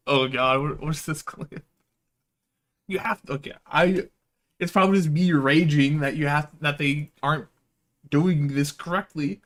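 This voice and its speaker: A man's voice: fairly high pitch, unhurried, pauses often, a bit bright. A man doing casual game stream commentary, swearing freely and ranting in exasperation as he plays. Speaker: streamer playing and reading a visual novel aloud.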